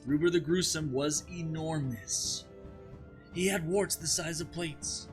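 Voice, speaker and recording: A man's voice: a little high, measured pace, faint music behind, lightly acted. A man playing a patient father who tells a campfire fairy tale in a storybook read-aloud. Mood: dramatic.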